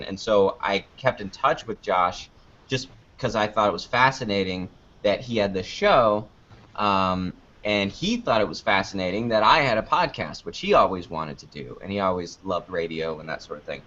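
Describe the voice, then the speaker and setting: A man's voice: on the low side, bright, forceful tone. A man giving casual interview answers in a joking, anecdotal way, acting out little exchanges as he goes. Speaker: podcast producer and video creator being interviewed. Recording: dull lo-fi audio.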